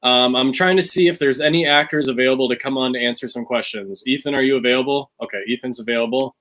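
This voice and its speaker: A man's voice: medium pitch, quick pace, a bit bright. Casual man hosting a livestream. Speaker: host of a theatre's online fundraiser. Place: remote online stream. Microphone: video call.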